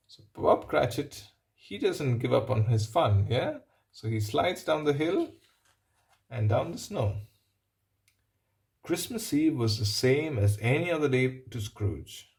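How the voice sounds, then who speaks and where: A man reading a picture book aloud, pausing for simple explanatory asides and checking in with the listener. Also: medium pitch, a little mellow. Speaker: storybook reader.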